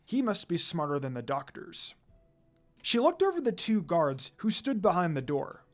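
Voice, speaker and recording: A man's voice: high voice, sing-song, moderate pace. A man narrating a story aloud in a dramatic reading style.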